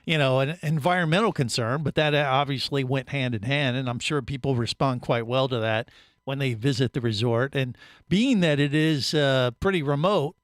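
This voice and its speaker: A man's voice: medium pitch, normal speed, dry recording. A man hosting a casual radio interview in a studio, rambling with many fillers and restarts. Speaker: radio show host.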